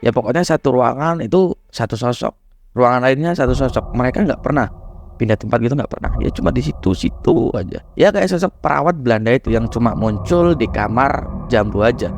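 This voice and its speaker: A young man, hoarse and nasal from a cold, chatting casually to viewers as he tells a horror story. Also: medium pitch, lively intonation, brisk, slight background noise. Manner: chatty, addresses viewers as guys. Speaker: horror storyteller, channel host.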